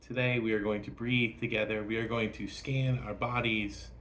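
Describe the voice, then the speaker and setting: A man with a fairly low voice guides a meditation. He gives gentle instructions in short phrases, leaving frequent pauses between them. Some ambient noise sits behind his voice. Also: little pitch movement.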